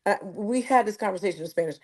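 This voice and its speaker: A woman's voice: medium pitch, fast, darkish tone. American woman telling stories in casual talk. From United States. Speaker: host. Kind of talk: casual discussion.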